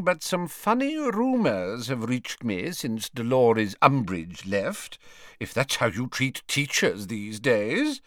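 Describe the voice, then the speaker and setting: A man's voice: medium pitch, a bit bright, dry recording, clean studio sound, voice pushed up. A man voicing a fussy, tired, blustering old wizard in an audiobook, with blunt, indignant complaining. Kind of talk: audiobook character voice.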